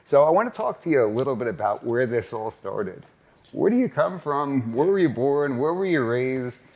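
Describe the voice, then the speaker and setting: Man, podcast host, upbeat, promotional, casual chat, cigar lounge, medium pitch. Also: very animated, normal speed, creaky, a little mellow.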